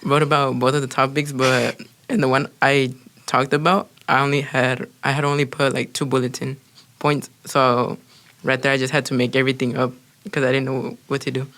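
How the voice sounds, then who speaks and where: Teen boy, native Spanish speaker, heavy vocal fry, sheepish and self-mocking. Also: medium pitch, flat delivery, normal speed, few pauses, a bit bright. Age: teens. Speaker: student speech competitor. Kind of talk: casual chat.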